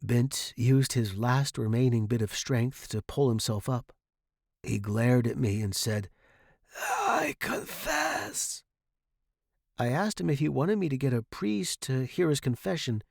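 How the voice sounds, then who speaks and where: A narrator giving an expressive, theatrical first-person reading of fiction. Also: medium pitch, moderate pace, gravelly, dry recording, clean studio sound. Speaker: story narrator.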